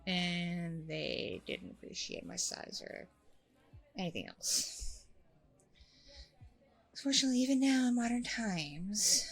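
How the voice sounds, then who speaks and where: A woman playing a chatty, candid, self-deprecating single mom on a blind date in a casual audio roleplay, rambling informally with a creaky voice. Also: medium pitch. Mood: hesitant, confiding. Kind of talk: ASMR audio roleplay, casual conversational acting.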